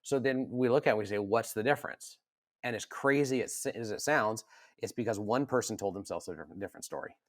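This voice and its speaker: A man's voice: lowish voice, quick pace, dry recording. Earnest man talking, dry close sound. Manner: earnest, animated, frequent restarts and repeated words. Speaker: podcast co-host. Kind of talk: casual podcast conversation.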